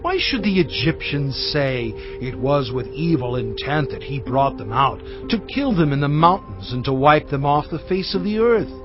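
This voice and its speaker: An impassioned, high-voiced Moses. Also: male voice. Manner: pleading then commanding, emphatic. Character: Moses, impassioned male prophet and leader. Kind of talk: dramatized scripture reading. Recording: dull lo-fi audio with loud background score.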